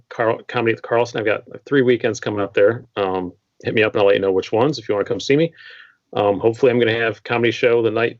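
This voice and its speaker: A man in his thirties with a fairly low voice talks as a podcast guest, matter-of-fact and ready with dry teasing jokes. The sound is dry and close, dulled like a lo-fi recording. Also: dry recording. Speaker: podcast guest, comedian and knife writer. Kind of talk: casual podcast chat.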